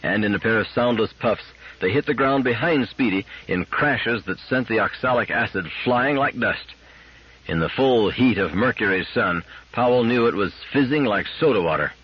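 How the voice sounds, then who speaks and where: A man with a husky voice giving a scripted narration of a science fiction story as an audiobook reader. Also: fairly low pitch, animated, moderate pace, dull audio.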